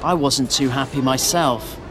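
A man's voice: medium pitch, unhurried, music in the background, natural voice. Figure: a man voicing a cheeky, chatty teenage boy. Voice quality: chatty, scornful.

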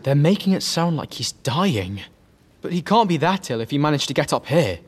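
A man's voice: a little high, very animated, normal speed, husky. A man playing an earnest, unassuming teenage wizard in an audiobook drama, speaking plainly and a little hesitantly. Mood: thoughtful. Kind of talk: full-cast audiobook drama.

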